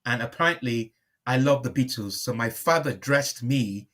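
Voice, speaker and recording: A man gives a reflective, conversational interview as the guest. His voice sits at a medium male pitch, and he often repeats a phrase before carrying on, rewording his thought as he goes. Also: expressive, moderate pace, fairly bright tone. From born in Liverpool, moved to Nigeria at five.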